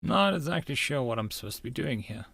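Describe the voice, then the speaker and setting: A man's voice: mid-range voice, sing-song, quick pace, gravelly, a bit bright, breathy edge, no echo. Man narrating a visual novel, dry close sound. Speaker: narrator and game player. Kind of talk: visual novel read-aloud with casual gameplay remarks.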